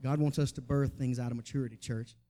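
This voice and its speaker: A man's voice: medium pitch, fairly even intonation, quick pace, mellow. A man with a Southern American accent preaching a charismatic church sermon, folksy and colloquial, exhorting his listeners and calling on them to respond. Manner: exhortatory, with rhetorical questions and calls for response. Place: church, preaching to a gathered congregation.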